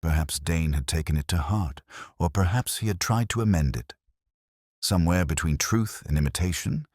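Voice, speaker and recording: Very deep-voiced man narrating detective fiction. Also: a little mellow, husky, no echo, studio quality. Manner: measured, literary, melancholy delivery. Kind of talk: scripted first-person audiobook narration.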